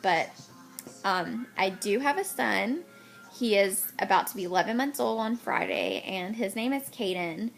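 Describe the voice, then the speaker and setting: Chatty young woman with a gravelly edge. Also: medium pitch, sing-song, unhurried, soft background music. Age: 20s. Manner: chatty, rambling with many ums. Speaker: vlogger. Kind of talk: casual chat to camera.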